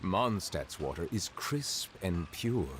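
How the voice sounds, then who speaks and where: An actor playing a refined, pompous male connoisseur in a video game, savoring his measured words. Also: low voice, lively intonation, a bit bright, slight background noise, heavily acted. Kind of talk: scripted game character dialogue.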